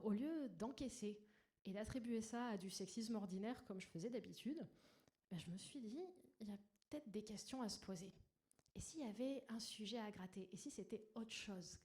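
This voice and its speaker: A woman with a soft, gentle voice, speaking to an audience in a personal, lightly self-deprecating conference talk. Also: medium pitch. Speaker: conference speaker, agile coach. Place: indoor conference room. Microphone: stage microphone. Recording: noisy live microphone in a talk room.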